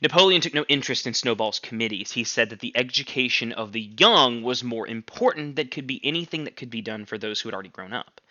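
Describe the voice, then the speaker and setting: Bright-voiced man, expressive teacher reading aloud. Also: mid-range voice, fast, hardly any pauses, dry recording, highs cut off. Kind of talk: reading a novel aloud for a class.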